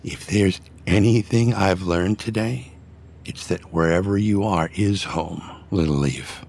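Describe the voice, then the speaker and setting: A man voicing a husky, tender aging dad. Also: sits low, unhurried, a little mellow, exaggerated voice. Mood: earnest, affectionate. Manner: tender, emotional, affectionate. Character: gentle, sentimental aging father animal, a baker. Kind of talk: motion comic voice acting.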